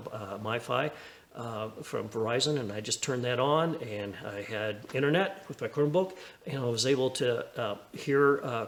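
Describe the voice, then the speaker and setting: A man with a medium-pitched voice chats informally to viewers about his hobby. He speaks in a halting, down-to-earth way, with an encouraging tone, like an amateur vlogger sharing what he knows. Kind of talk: casual vlog chat, sharing lessons learned.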